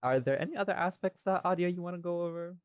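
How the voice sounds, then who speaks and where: A man's voice: high-pitched, very fast, smooth, dry recording. A man chatting casually as a podcast host, rambling and opinionated, with some casual swearing. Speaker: podcast host and audio drama writer.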